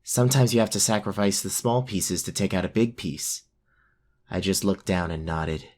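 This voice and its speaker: A man's voice: low-pitched, normal speed, darkish tone, close to an everyday voice. A man playing a brave but self-doubting young fantasy warrior, narrating his own story in an audio drama. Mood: earnest narration.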